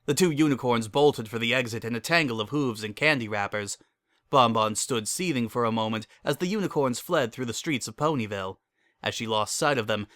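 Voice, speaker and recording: A man's voice: medium pitch, fairly bright tone, no echo, studio quality. A man giving a scripted, dramatic narration of a story.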